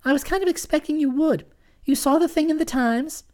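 A woman's voice: high voice, brisk, dark tone, close to an everyday voice. A woman voicing a breezy, scheming young woman. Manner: breezy, matter-of-fact, teasing.

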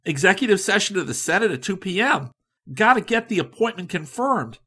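A man voicing an eager, pushy, boastful man in a hurry who talks in an excited, loud rush. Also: high voice, a little fast, husky, pitched above the performer's own voice, heavily acted.

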